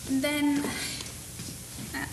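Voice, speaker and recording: A woman's voice: sits high, sing-song, heavily acted. A young woman playing a fragile grieving mother. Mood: halting. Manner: halting, repeating words, drifting into a dreamy sing-song. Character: grieving mother Faye, fragile and clinging to a doll. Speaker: actor in a school play. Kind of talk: amateur stage acting. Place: high school auditorium stage. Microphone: distant room mic. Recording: echoey hall from far off, hissy.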